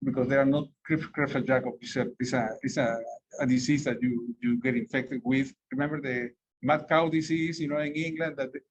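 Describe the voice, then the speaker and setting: Rambling physician from South America, husky. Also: male voice, medium pitch, fairly even intonation, a little fast. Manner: rambling, frequent fillers and restarts. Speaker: physician lecturer. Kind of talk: medical lecture to clinicians. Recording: dull lo-fi recording.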